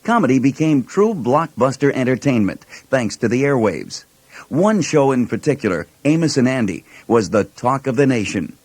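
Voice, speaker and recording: A man's voice: mid-range voice, sing-song, moderate pace, a little mellow, no echo. Man narrating, dry close sound. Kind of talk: scripted documentary narration.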